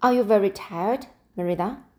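A woman's voice: medium pitch, moderate pace, darkish tone, natural voice. A woman reading the lines of an anxious young girl trying to sound brave.

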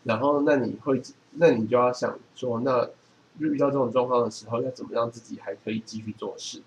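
A man's voice: mid-range voice, steady tone, a little fast, slight background noise, low-quality recording. A man with a Taiwanese accent chatting casually as a co-host, explaining things and giving advice. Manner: explanatory, advising. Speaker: podcast co-host. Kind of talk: casual chat.

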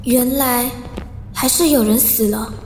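A high-pitched, anxious young student in a radio drama. She is hesitant and troubled, often murmuring to herself as if burdened by guilt, with light music behind her. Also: measured pace, darkish tone. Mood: guilty, troubled.

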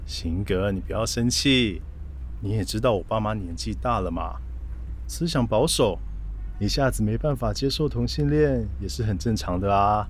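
A man's voice: on the low side, moderate pace, a little hiss or hum, natural voice. An actor playing a placating, evasive boyfriend in his twenties in a radio drama, coaxing in a Taiwanese accent. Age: 20s. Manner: coaxing, excuse-making.

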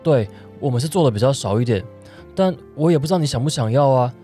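A sulky yet tender young boyfriend in a radio drama, played by a man. He has a Taiwanese Mandarin accent and a mid-pitched male voice, sounds easily flustered, and faint music plays behind him. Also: expressive, fast talker, mellow, roomy sound, lightly acted. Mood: exasperated, insistent. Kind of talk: audio drama acting with inner narration.

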